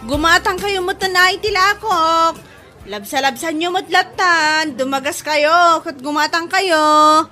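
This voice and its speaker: A nosy Ilocano market seller in a comic radio drama. She teases and hawks in an extremely high, bright voice. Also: measured pace, soft background music, heavily acted. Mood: hawking. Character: nosy, teasing market stallkeeper hawking onions.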